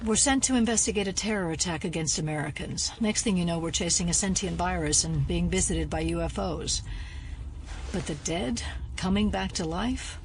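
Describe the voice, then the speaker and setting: An actress playing a level-headed, sceptical FBI investigator in an audio drama, with a measured, serious delivery. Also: female voice, fairly low pitch, a little hiss or hum, lightly acted, low-quality recording.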